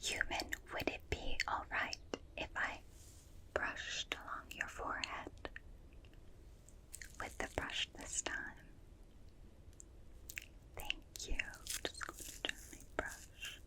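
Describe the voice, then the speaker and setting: A woman playing a gentle, curious alien in an ASMR roleplay, speaking in soft breathy whispers with soothing, clinical, repetitive phrasing close to the microphone. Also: close to an everyday voice. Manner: slow, soothing, repetitive, clipped detached phrasing. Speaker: ASMR performer. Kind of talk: ASMR roleplay, personal attention. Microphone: close binaural microphone.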